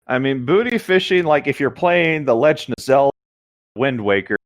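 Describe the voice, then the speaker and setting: Animated man, very dry close sound. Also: medium pitch, normal speed, no echo. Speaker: co-host reading a story aloud. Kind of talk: casual banter and informal story reading.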